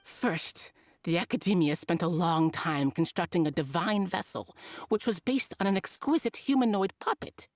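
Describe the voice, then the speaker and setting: A woman's voice: fairly low pitch, animated, normal speed, a little mellow, no room sound, clean studio sound. Earnest female scholar for a fantasy game, troubled, expository delivery. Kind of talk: video game voice acting.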